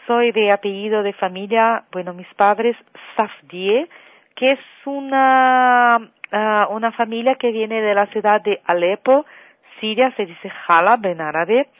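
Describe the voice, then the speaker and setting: A Brazilian-born woman singer, a guest on a radio interview by phone, telling her story slowly with long pauses and fillers. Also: mid-range voice, dry recording. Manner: slow, warm storytelling with drawn-out fillers, sings softly at times. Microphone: telephone. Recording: tinny phone line.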